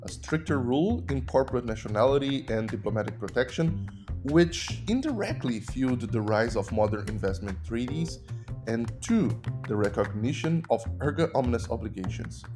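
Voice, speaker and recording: A man with a Brazilian accent, a native Portuguese speaker, hosting a podcast and explaining legal ideas in measured, teacherly narration. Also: mid-range voice, lively intonation, music in the background. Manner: measured, didactic. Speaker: podcast host and human rights lawyer.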